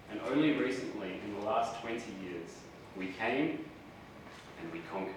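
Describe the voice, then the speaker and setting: A man with an Australian accent giving a university lecture, chatty and self-deprecating, often asking the audience questions. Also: lowish voice. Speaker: guest lecturer. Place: large lecture hall. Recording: echoey hall, voice from far off, noisy.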